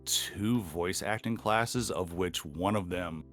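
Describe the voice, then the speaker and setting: Middle aged guy, low smokers voice, rambly blunt podcast chat, bit of music behind. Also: slow, a bit bright. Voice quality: smoker's voice with a slight gravelly growl. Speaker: voice actor being interviewed. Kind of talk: casual podcast interview chat.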